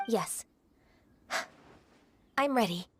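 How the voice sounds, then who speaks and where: Young female pupil, high voice, lively intonation, earnest, polite, anime game. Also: very slow, a little hiss or hum. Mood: apologetic, earnest.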